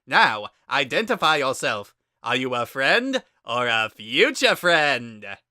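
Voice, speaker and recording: A man's voice: mid-range voice, very animated, slow, pushed and bright, no room sound, studio quality, full character voice. A man voicing a pompous, big-hearted would-be royal guard in a dramatic story reading, with bombastic, grandiose delivery. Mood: grandly jubilant. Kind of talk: dramatic reading of fan fiction.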